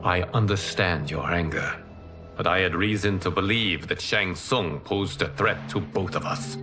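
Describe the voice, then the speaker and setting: An actor playing a humble former god in a video game, speaking in a formal, measured, earnest way. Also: male voice, low-pitched, over music. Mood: earnest, solemn. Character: solemn, humble former god and protector of Earth. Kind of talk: dramatic video game cutscene acting.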